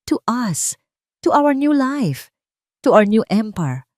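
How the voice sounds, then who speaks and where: A woman's voice: on the high side, sing-song, slow, darkish tone, no echo, studio quality, voice pushed up. A woman narrator voicing a boastful, scheming husband in an audio drama, smug and dismissive, mixing Tagalog and English. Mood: dismissive, confident.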